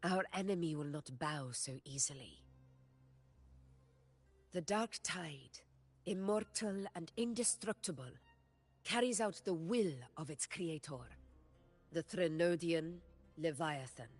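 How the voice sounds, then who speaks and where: A woman playing a composed, solemn warrior leader with measured authority in a fantasy game. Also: low voice, husky, faint music behind, heavily acted. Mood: solemn, resolute. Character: composed, commanding female warrior leader briefing a hunt. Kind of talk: scripted fantasy game dialogue.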